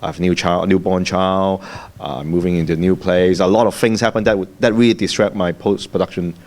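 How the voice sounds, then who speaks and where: A filmmaker from Hong Kong with a Cantonese accent, speaking haltingly with fillers and repeated words at a festival panel. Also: male voice, sits low, steady tone, a little mellow.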